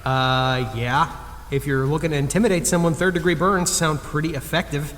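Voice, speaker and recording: A man's voice: fairly high pitch, steady tone, normal speed, fairly bright tone, lightly acted. An actor playing a hapless, self-deprecating museum cleaner in an audio drama, a man who talks in a hesitant, sarcastic, rambling way. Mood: wry. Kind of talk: naturalistic comedic audio drama acting. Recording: long cavernous reverb with faint noise.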